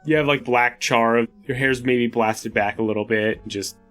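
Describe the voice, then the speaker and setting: A man's voice: mid-range voice, fairly even intonation, moderate pace, fairly bright tone. A man playing a tabletop game, casually improvising narration of what his character does. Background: faint music.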